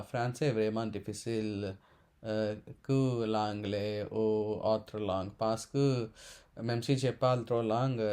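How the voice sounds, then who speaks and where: A man in his twenties from India, a Telugu speaker, talking in halting learner's French with many pauses and self-corrections. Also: medium pitch, a little mellow. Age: 20s. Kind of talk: casual unscripted monologue.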